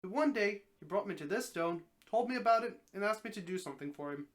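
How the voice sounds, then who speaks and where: A man's voice: high voice, animated, fast. A man voicing an old, easygoing talking snake in a dramatic story reading, folksy and matter-of-fact. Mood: matter-of-fact, reminiscing.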